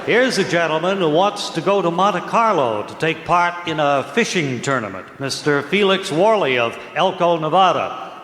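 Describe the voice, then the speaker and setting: A man hosting and announcing in an old-time radio comedy style, deadpan and formally polite. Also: fairly high pitch, moderate pace. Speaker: radio show host and announcer. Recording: echoey, noisy old radio recording.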